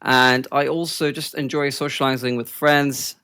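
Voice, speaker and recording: Mid-pitched man answering interview questions. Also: level tone, moderate pace, sharp tone, clean tone. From born and raised in Kenya, later moved to London. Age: 30s. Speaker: podcast guest, sales development rep. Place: home office.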